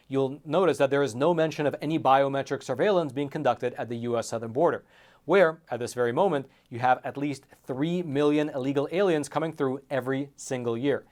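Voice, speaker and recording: Male news commentary host, mid-pitched voice. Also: darkish tone. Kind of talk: scripted news commentary to camera.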